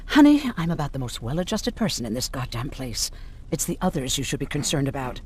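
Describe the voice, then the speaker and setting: A woman's voice: rumbling low, very animated, brisk, a little mellow, slightly rough, full character voice. An actress playing a self-assured, caring resistance woman in a video game, with a wry, folksy, familiar way of talking. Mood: wry, teasing.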